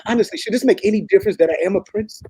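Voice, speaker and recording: A man's voice: high-pitched, racing, warm tone, slight background noise. A man playing an earnest, lovesick young African prince in a comedic table read, pleading dramatically. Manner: pleading, dramatic, repeats words.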